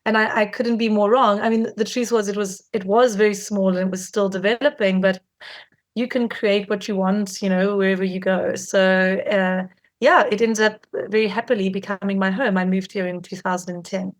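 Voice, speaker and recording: A woman in her forties, raised in South Africa, answers interview questions in a loose, chatty way. She often restarts her sentences and fills pauses with "you know" and "um". Her voice sits at a middle pitch for a woman. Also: fairly even intonation. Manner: warm, chatty, many fillers and restarts. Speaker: filmmaker guest. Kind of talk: conversational interview.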